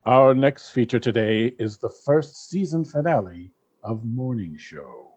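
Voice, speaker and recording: A man's voice: medium pitch, expressive, unhurried, muffled recording, over loud music. A man reading scripted narration as the announcer of a comedy radio play.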